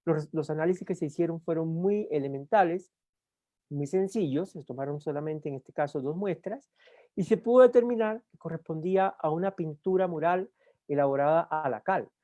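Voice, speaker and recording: A man from Cuba with a Cuban accent, lecturing at a university in an unhurried way, often pausing on "eh" and restarting words. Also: a little high, mellow, no room sound. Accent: Cuban Spanish. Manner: unhurried with frequent "eh" fillers and restarts. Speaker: guest lecturer. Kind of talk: university talk.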